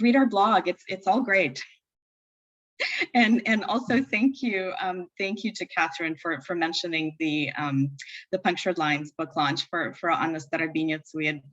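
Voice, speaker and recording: A woman's voice: on the low side, fairly bright tone. A woman speaking as a panel guest on a video call, hesitant, with many restarts and fillers. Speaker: guest editor of a literary publisher. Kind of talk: casual panel talk. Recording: dull lo-fi video call audio.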